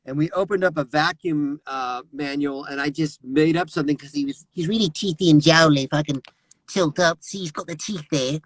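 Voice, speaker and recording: A middle-aged man, a voice actor, telling lively anecdotes over a remote call and slipping into character voices. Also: a little high, a little fast, no room sound, low-quality recording. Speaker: voice actor guest. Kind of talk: animated anecdotal storytelling.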